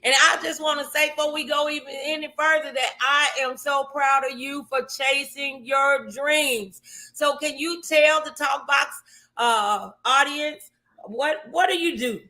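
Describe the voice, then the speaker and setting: A woman's voice: high-pitched, measured pace, sharp tone, low-quality recording. A woman hosting a morning talk show interview, speaking in an effusive, encouraging way and often repeating her phrases.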